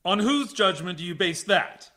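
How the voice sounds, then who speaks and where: A man's voice: sits high, animated, moderate pace, sharp tone. A man acting in a radio play as a stiff, prickly military base commander, stern, clipped and formal in speech. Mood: stern, indignant. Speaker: actor.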